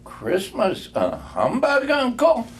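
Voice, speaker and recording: Older man playing cheerful young gentleman, high lifted voice, hearty, exclaiming, storybook character. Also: wildly swooping pitch, slow, slight background noise, full character voice. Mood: protesting.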